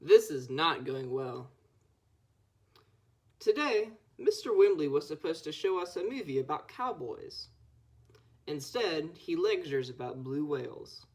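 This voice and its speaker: A young female Scout reading a children's picture book aloud, with expressive storytelling. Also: low voice, a little slow. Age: child or teenager. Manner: expressive storytelling with acted dialogue. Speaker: Scout volunteer reader.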